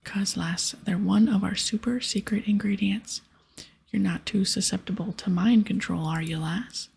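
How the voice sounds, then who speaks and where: Woman whispering as a breathy bossy pirate captain. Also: mid-range voice, steady tone, moderate pace, darkish tone. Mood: bossy, explaining. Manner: piratical dialect, explaining and ordering. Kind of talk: whispered ASMR game dialogue.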